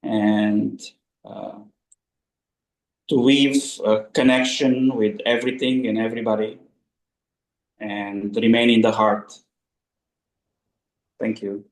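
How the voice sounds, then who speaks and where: Man from Romania on a group call, slow and halting, lots of fillers, roomy echo. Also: lowish voice, little pitch movement. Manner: halting, many fillers and pauses. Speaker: call participant.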